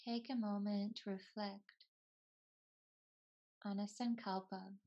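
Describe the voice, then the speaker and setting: A woman leads a guided relaxation as a yoga teacher. Her delivery is soothing and very slow, in short phrases separated by long pauses. The pitch stays quite even, and the recording sounds slightly dull. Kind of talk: slow guided meditation narration.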